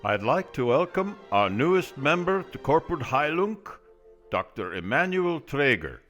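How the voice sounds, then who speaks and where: A man playing a self-important ward president, giving formal, measured oratory in a radio drama. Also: fairly high pitch, big pitch swings, fairly bright tone, faint music behind. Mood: ceremonious. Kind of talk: radio drama acting, formal welcome speech.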